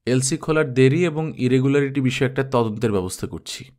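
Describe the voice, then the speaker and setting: A man's voice: mid-range voice, brisk, a little mellow, close to an everyday voice. A man voicing a proud senior company manager in an audiobook, with an indignant, self-important manner. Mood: annoyed, indignant. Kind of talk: audiobook character dialogue.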